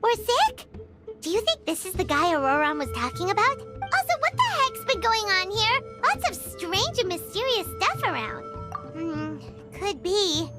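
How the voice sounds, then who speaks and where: Little fairy sidekick, piercingly high, animated, curious, scolding, video game style, prominent music behind. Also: female voice, moderate pace. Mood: curious, frank. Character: small excitable childlike fairy companion, curious and frank. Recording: loud music under the voice.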